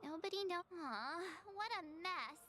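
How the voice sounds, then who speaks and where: An actress playing a bubbly, candid teenage girl in a video game, with a youthful, hesitant, expressive delivery. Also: very high-pitched, moderate pace, slight background noise, full character voice. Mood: hesitant, wistful.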